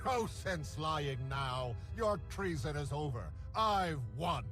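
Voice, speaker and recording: A pompous usurper king in a fantasy sci-fi game. The voice sits fairly high for a man and gloats with self-importance. Music plays underneath. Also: expressive, slow pace, fairly bright tone. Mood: gloating. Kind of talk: video game villain acting.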